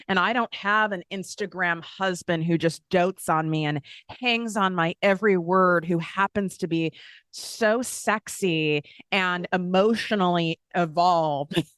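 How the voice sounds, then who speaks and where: Woman podcast host coaching you on business, lowish voice, dry close sound, fired up and candid. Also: unhurried, clean tone, no room sound. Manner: energetic, candid, mimics doubting voices, laughs at herself. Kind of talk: motivational solo podcast talk.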